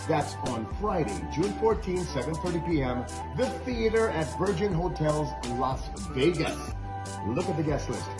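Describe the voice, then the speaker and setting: A man's voice: a little high, expressive, moderate pace. A man announcing a concert lineup with showy enthusiasm, swooping up on names and slipping into song. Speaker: guest performer recording a concert promo. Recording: music bed under the voice. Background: music.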